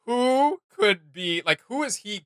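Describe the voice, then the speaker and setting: A man's voice: very high-pitched, animated, takes it slowly, clean tone, no echo, clean studio sound. A man hosting a casual reaction video, thinking aloud with restarts and filler.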